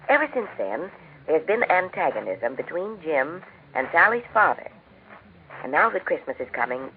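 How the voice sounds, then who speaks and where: A woman's voice: sits low, a little hiss or hum. A woman hosting a 1940s radio program, narrating from a script in a polished, cheerful manner. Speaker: radio host and story narrator. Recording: tinny, muffled 1940s radio recording.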